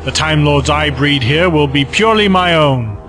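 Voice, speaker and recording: A man's voice: on the high side, moderate pace, lightly acted. An actor playing a cold, commanding male villain in an audio drama, smug and menacing. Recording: music bed behind voices.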